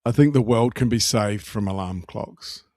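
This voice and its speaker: A man's voice: lowish voice, moderate pace, a little mellow, no room sound, clean studio sound. A middle-aged man chatting casually as a podcast co-host, wry, often restarting and repeating his phrases. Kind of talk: casual banter.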